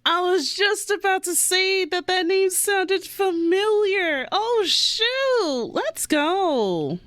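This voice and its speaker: Animated woman streamer, slow-paced and dry. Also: extremely high pitch, dry recording. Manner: exclamatory, giggly. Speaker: streamer reacting to a video game. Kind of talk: casual live game reaction commentary.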